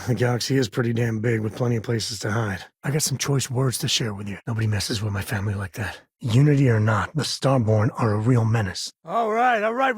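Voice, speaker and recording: A man's voice: mid-range voice, husky, no room sound, clean studio sound, lightly acted. An actor playing a rugged, easygoing space ranger and protective father in a video game, with a folksy, wry, conversational manner. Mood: determined. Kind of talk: video game character dialogue.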